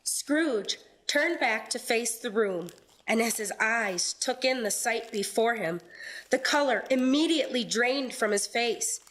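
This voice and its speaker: A woman narrating a radio play in a measured, deliberate storytelling style. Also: on the high side, lively intonation, a bit bright, low-quality recording.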